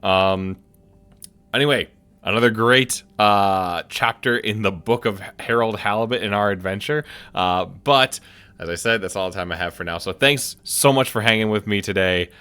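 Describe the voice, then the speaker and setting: A man hosting a let's-play video, giving chatty, excitable commentary. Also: fairly low pitch, moderate pace, clear, resonant tone. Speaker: gaming video host.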